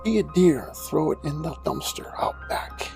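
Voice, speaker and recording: A man voicing a suave, devilish gentleman villain in a cartoon comedy, theatrical and drawling, with mock courtesy and a breathy, creaky voice. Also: a little high, big pitch swings, normal speed, over music. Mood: amused, condescending.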